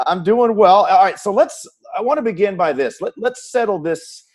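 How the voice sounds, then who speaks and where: A man's voice: high-pitched, animated, moderate pace. An American man working as a sports show host, asking questions in a conversational interview style.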